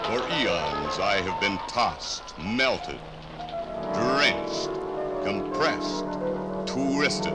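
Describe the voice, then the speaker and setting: An American man playing ancient iron itself in a grave, declamatory 1950s radio drama monologue with dramatic pauses. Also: fairly low pitch, low-quality recording. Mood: brooding, weary. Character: iron itself, ancient, solemn, patient elemental narrator. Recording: 1950s radio transcription under loud music.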